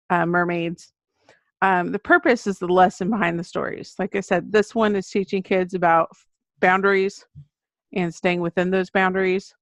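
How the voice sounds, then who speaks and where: American lady author on a podcast, lowish voice, earnest explaining, kinda muffled audio. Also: dry recording. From United States. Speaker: guest, children's book author. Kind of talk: conversational podcast interview.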